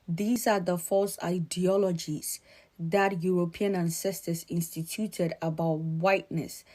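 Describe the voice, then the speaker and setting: A middle-aged woman from Ghana giving earnest, measured, emphatic spoken commentary. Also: lowish voice, mellow.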